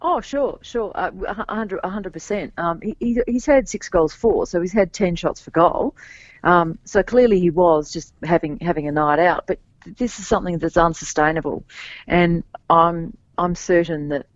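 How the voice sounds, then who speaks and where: A woman co-hosting a sports podcast, talking casually and a bit hoarse from cheering, with many restarts and fillers. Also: on the low side, normal speed, dry recording. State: slightly scratchy voice from cheering. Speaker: podcast co-host. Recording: dull, dry lo-fi podcast mic.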